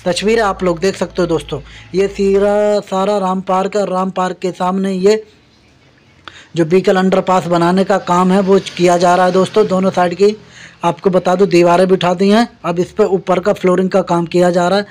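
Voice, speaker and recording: High-voiced man narrating a construction tour. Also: little pitch movement, moderate pace, a little mellow. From India. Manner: repeatedly points things out to viewers, addressing them as friends. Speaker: vlogger. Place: outdoors at a construction site beside a highway.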